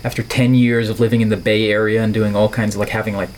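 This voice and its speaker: A man's voice: fairly low pitch, level tone, fast, a little mellow, a little hiss or hum. A middle-aged man from the Netherlands with a neutral American accent, talking reflectively and playfully as an interview guest, with wordy turns of phrase. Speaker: podcast guest, artist and filmmaker.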